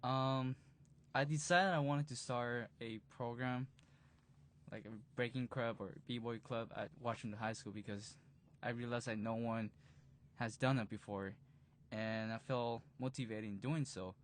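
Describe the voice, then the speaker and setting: A man in his early twenties, a b-boy instructor, recalling in a relaxed way with frequent fillers during an interview. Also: mid-range voice, measured pace. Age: 20s. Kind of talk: recorded interview recollection. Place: museum interview room.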